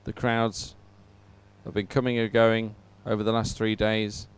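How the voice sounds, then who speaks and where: A man's voice: on the low side, little pitch movement, a little slow, clear, resonant tone. A man presenting live coverage of a sporting event to viewers, talking as a broadcast host.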